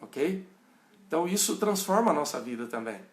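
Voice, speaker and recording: A man's voice: a little high, very animated, a little fast, reverberant. A middle-aged pastor with a rural São Paulo and Minas Gerais Brazilian accent, teaching informally and often checking in with his listeners. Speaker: pastor teaching a Bible study. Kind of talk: informal teaching answering viewers' questions.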